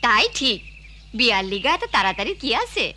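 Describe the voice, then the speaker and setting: A woman's voice: on the high side, big pitch swings, a little fast. A woman playing a village mother in a cartoon, with a rural Bangladeshi accent. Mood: agreeing. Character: village mother of a teenage daughter. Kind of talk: cartoon voice acting.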